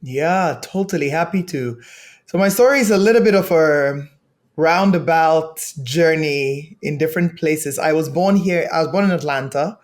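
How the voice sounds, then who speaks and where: Earnest young man from Ghana. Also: on the high side. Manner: earnest, measured, with fillers. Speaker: guest. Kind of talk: telling his life story in an interview.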